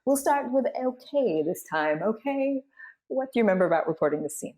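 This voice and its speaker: A woman's voice: on the high side, lively intonation, fairly quick, a little mellow. A woman hosting a cast discussion as a voice-over director, explaining things in an encouraging way. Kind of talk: guiding a cast panel discussion.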